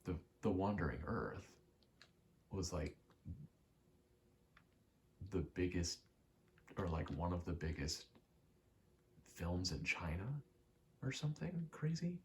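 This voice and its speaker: A man's voice: low voice, sing-song, slow, long pauses, darkish tone, slight background noise. A young man giving a casual, meandering ASMR ramble while gaming, often interrupting himself in an understated way. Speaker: ASMR creator.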